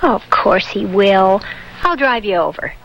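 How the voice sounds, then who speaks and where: An actress playing a practical, concerned housewife and friend in a radio drama, sympathetic and coaxing. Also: female voice, medium pitch, lively intonation, measured pace, dull audio. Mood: worried, coaxing. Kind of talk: 1970s radio drama acting. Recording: noisy old radio recording, ambience.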